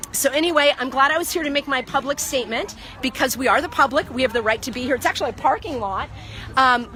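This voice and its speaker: Impassioned high-voiced woman lecturing outdoors. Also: bright, forceful tone. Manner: impassioned, lecturing, repeats herself. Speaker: vlogger. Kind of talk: outdoor phone vlog, heated argument. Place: outdoor market parking lot. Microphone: phone held by the speaker.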